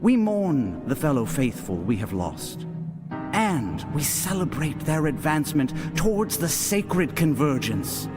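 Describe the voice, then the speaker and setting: A solemn cult preacher doing a lofty eulogy, big swings in his voice, music under it. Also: medium pitch. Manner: measured, lofty, oratorical. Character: solemn male cult leader preaching at a funeral. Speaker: religious leader. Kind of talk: ceremonial sermon, dramatic audio acting.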